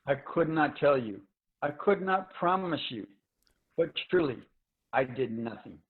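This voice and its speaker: An actor playing a humble ranger and wandering balladeer of a future Earth in a dramatic reading. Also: male voice, a little high, lively intonation, measured pace, husky. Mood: humble, sincere. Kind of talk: dramatic reading of science fiction. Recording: muffled, lo-fi video call audio.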